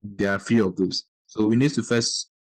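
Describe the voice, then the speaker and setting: Low-voiced man, dry close sound. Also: steady tone, normal speed, darkish tone, no echo, poor audio. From Ghana. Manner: earnest, explanatory, with brief backchannel agreements. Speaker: podcast host. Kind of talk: podcast conversation.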